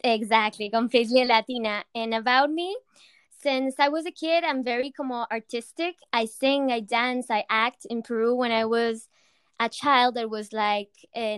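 Young Latin American woman founder, smooth voice. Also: on the high side, dry recording. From Argentina. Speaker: founder of a fitness company. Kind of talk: interview answers.

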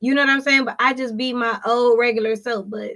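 Young woman, fairly high voice, loud and laughy, slangy casual chat. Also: fairly quick. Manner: animated, laughing, slangy, often says "you know what I'm saying". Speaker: podcast co-host.

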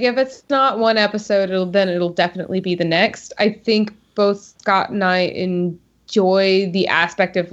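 Woman from Texas, podcast guest, easygoing and chatty; dull lo-fi recording. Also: mid-range voice. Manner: easygoing, chatty, hesitant fillers. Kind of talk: casual chat. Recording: slightly dull lo-fi sound.

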